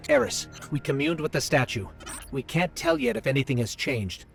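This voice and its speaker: A man's voice: a little high, huge pitch swings, normal speed, vocal fry, soft background music, heavily acted. A man voicing a small robot companion in a video game, earnest, alert and conversational. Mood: cautious.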